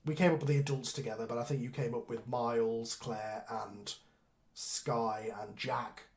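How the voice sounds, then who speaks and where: A man hosts an aftershow over a video call, talking in loose, rambling runs with quick asides. His voice sits at an everyday middle pitch. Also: fairly even intonation, gravelly, a little hiss or hum. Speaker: show creator and host. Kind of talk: casual aftershow chat on a group call.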